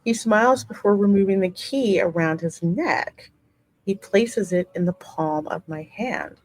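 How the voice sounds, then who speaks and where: A woman hosting a game playthrough, reading the story aloud as the player character in an expressive, measured, dramatic way. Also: medium pitch, rough recording. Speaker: gaming host voicing the player character. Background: musical game soundtrack.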